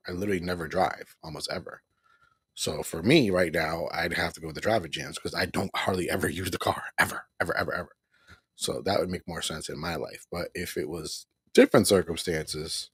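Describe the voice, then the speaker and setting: A man's voice: deep voice, creaky, breathy edge, dry recording. A middle-aged man hosting a casual home livestream, rambling and repeating himself with small chuckles. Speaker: livestream host. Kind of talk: casual live broadcast chat.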